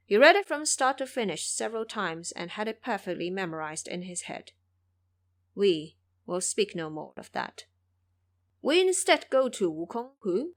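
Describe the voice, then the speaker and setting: A woman narrator reading a scripted story in a dramatic storytelling style. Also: mid-range voice.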